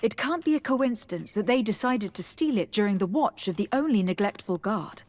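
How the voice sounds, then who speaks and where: A woman voicing a polite, inquisitive young noblewoman diplomat in a video game, speaking in a measured, formal way. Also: mid-range voice, lively intonation, darkish tone, close to an everyday voice. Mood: measured, inquiring. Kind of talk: video game dialogue acting.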